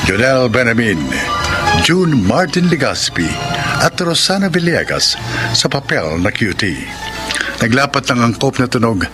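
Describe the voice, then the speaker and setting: Male radio drama announcer: medium pitch, expressive range, formal scripted delivery, rousing. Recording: music under the voice.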